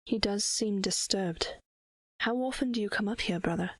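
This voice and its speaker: A woman playing a caring, protective princess and older sister, with a measured, formal, soothing manner in an audio drama. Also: medium pitch, crowd noise, lightly acted. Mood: gentle, regretful. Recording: music bed behind the voice.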